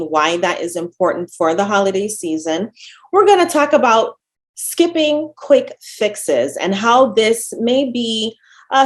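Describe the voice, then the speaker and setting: Jamaican-accented woman, dietitian presenter; friendly, lively teaching delivery. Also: mid-range voice. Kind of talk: webinar presentation. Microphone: video call.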